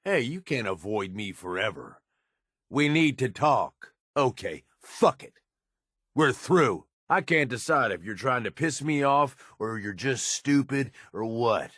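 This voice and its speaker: Video game raider henchman: hard-bitten, cynical, blunt. Low, very animated delivery, recorded close and dry. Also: no room sound. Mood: angry, fed up. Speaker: game companion character.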